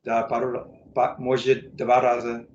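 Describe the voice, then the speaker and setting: An American man, a native English speaker, speaking learner's Russian in a casual interview, halting with many fillers and self-corrections. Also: mid-range voice, monotone, darkish tone, echoey room, muffled recording, a little hiss or hum. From United States. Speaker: interview guest, English teacher.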